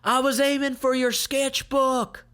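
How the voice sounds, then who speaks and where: A man's voice: extremely high pitch, level tone, a little slow, bright, forceful tone, voice pushed up, exaggerated voice. A man voicing a sarcastic, defiant, exasperated woman from a fantasy comic read aloud. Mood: snappy.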